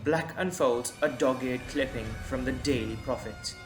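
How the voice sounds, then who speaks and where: A man's voice: mid-range voice, normal speed. A man narrating a scripted audio drama in a storytelling style. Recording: roomy echo, background music.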